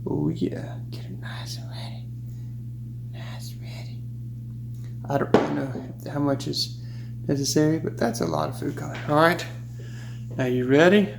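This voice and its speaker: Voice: mid-range voice, normal speed, gravelly, pauses often, in a whisper. Playful presenter in an echoey room. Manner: playful, exclaims with delight. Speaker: science teacher for children. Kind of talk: hands-on science demonstration. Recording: echoey room with steady hum.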